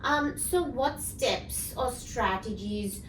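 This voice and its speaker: A woman's voice: on the high side, slow pace, fairly bright tone, slight background noise. A woman hosting a casual company interview, encouraging her guest with frequent "yeah". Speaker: host, company CEO. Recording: echoey room, mic at a distance.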